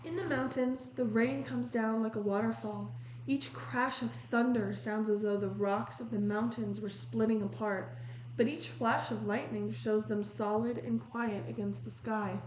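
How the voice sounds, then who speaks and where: A woman reading a picture book aloud in a measured, descriptive storytelling manner, pausing to comment on the illustrations. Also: a little high, steady tone, a little mellow. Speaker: read-aloud host. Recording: roomy echo with faint noise.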